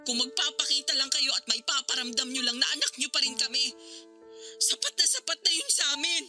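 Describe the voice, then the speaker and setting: A woman's voice: high-pitched, animated, fairly quick, sharp tone, full character voice. An actress playing a hurt, resentful grown daughter reproaching her father in an emotional radio drama. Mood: hurt, guarded. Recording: dramatic music behind the voice. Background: music.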